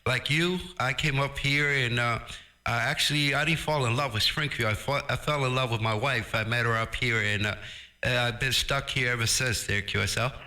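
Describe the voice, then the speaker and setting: Middle aged new guy chatting on ham radio, really sharp bright voice. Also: mid-range voice, steady tone, normal speed. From Brooklyn, New York. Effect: ham radio transmission. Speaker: amateur radio operator. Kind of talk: casual radio chat.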